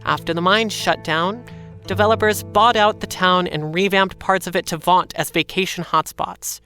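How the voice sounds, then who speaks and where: A woman's voice: on the low side, steady tone, moderate pace, pushed and bright, faint music behind. An actress playing a jaded, guarded young woman in a noir audio drama, speaking in a dry, weary, restrained way. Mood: weary, bleak. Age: young adult. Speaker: lead character and narrator in an audio drama.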